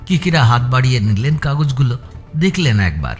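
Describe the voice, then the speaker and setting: A man's voice: medium pitch, big pitch swings, fairly quick, faint music behind. A man reading scripted story narration as an audiobook narrator.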